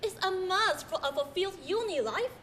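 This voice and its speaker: A woman's voice: very high voice, lively intonation, normal speed, a bit bright, slight background noise. A young woman acting in a school stage skit, speaking her lines eagerly. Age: young adult. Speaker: student actor playing Vicky and narrator. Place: large indoor stage.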